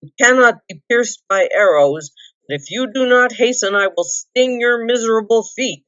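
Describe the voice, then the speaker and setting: A woman voicing a proud, bitter male dwarf king in a storybook reading, grim, forceful and commanding. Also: fairly high pitch, normal speed, voice pushed up, natural voice. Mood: scornful, commanding. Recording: dull lo-fi roomy recording.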